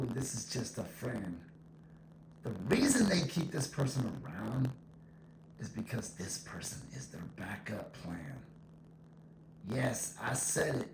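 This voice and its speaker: A man's voice: medium pitch, vocal fry. A man hosting a solo podcast, giving an informal, blunt and emphatic opinion monologue that repeats its points, with an echo effect on his voice. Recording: echo effect, faint background noise.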